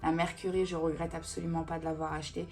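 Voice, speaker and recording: Casual beauty vlogger, woman in her thirties, lowish voice, chatty, rambles with fillers, faint music behind. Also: flat delivery, quick pace, a little mellow. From Italian family roots. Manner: chatty and digressive, with many fillers. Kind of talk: casual Q&A chat.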